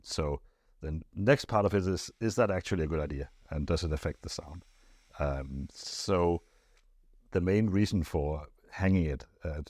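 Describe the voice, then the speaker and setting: Low voiced guy doing a casual expert explainer, thinks as he goes w lots of pauses, really dry recording. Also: dark tone, no room sound. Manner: hesitant, thoughtful phrasing with frequent pauses and repeated words. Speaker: loudspeaker expert answering viewer questions.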